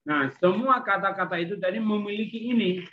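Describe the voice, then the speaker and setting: Phone-thin, higher-voiced Indonesian man teaching. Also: brisk. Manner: explanatory, often checking with "ya". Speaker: Greek language teacher. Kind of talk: online class lecture with questions. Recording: thin, phone-like online call audio.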